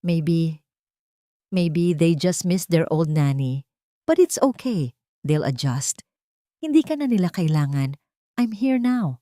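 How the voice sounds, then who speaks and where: A woman's voice: lowish voice, very animated, slow, long pauses, mellow, no room sound. A woman acts out a scheming sweetheart from an audio drama. She coaxes in a sugary, falsely caring way, sliding between English and Tagalog, and her sound is close and dry. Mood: coaxing. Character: Isabela, scheming fiancee, sugary with fake concern.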